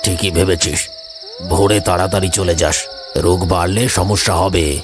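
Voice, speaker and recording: A man's voice: deep voice, fairly even intonation, moderate pace, pitched below the performer's own voice, lightly acted. A man voicing a grave, cautious older village elder in a horror cartoon. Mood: grave warning. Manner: stern, concerned, advising. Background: music, insect sounds.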